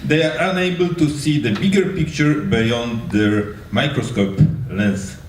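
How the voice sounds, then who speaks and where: A man's voice: medium pitch, a little slow, far from the mic. A man reading a prepared text aloud at a live event. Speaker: university lecturer. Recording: echoey room from afar, faint noise.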